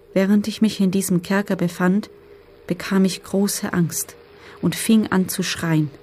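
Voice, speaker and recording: A woman playing a former camp prisoner who gives sober, measured testimony in a documentary radio drama. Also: medium pitch, darkish tone, a little hiss or hum, lightly acted. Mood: sober, haunted. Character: former female concentration camp prisoner giving grim testimony.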